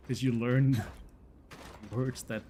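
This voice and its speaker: A man's voice: mid-range voice, dark tone, slight background noise. A man in his thirties from Denmark, a native Danish speaker with a Danish accent, casually chatting with viewers as a game streamer, hesitant and often repeating words. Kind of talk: casual chat with viewers while playing.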